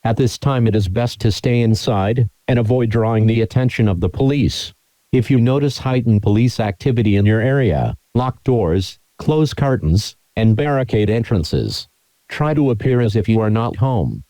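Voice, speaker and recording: Dark, dry male emergency alert announcer. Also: fairly low pitch, lively intonation, normal speed, no echo. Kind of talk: scripted formal emergency broadcast announcement.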